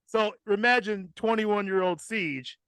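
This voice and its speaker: High-pitched man telling a casual story. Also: a little slow, fairly bright tone, smooth, dry recording. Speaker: podcast host telling a personal story. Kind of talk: casual, profane comic storytelling.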